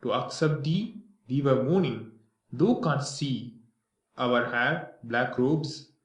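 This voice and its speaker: An actor playing a solemn, reproachful servant in mourning in a Greek tragedy, speaking archaic verse. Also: male voice, mid-range voice, slow pace, lightly acted. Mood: bitter, mournful. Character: grieving male servant of a royal house, reproachful. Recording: echoey room, distant from mic.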